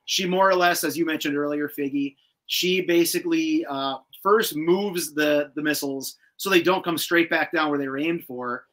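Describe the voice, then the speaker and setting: A man with a bright, forceful voice pitched a bit high hosts a casual rewatch chat. He retells scenes in an animated, slangy way and swears now and then. It sounds like a video call. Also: moderate pace. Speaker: host. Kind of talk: casual chat recapping a cartoon episode. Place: indoor room with shelves of collectibles, on a video call.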